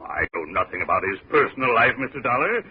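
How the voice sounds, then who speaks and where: An actor playing a gray-haired, pompous small-town mayor in 1950s radio drama, husky, hesitant and full of overblown rhetoric. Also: male voice, medium pitch, sing-song, fast talker, slight background noise, close to an everyday voice. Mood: earnest, formal. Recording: dull old radio recording.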